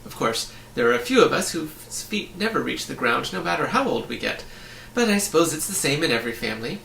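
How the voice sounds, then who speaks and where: A man's voice: on the high side, big pitch swings, a little fast, vocal fry, bright, forceful tone, echoey room, recorded from a distance, a little hiss or hum, pitched above the performer's own voice, exaggerated voice, low-quality recording. A man voicing a breezy, opinionated young boy in a storybook, explaining things in a chatty, matter-of-fact way. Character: breezy, opinionated young boy who floats in mid-air.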